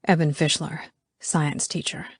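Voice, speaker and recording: A woman voicing a young man who murmurs wry gossip softly and intimately in an audiobook. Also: low voice, steady tone, normal speed, dry recording, clean studio sound. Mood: intimate, amused. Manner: hushed, whisper-soft, wry.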